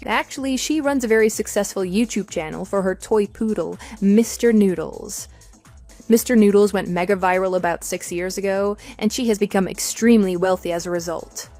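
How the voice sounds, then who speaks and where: A woman's voice: medium pitch, normal speed, dark tone, soft background music, close to an everyday voice. Woman acting a composed, dryly mocking wronged wife. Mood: matter-of-fact. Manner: matter-of-fact, dismissive, dryly mocking. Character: composed, wry wife divorcing her cheating husband. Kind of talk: scripted audio drama dialogue.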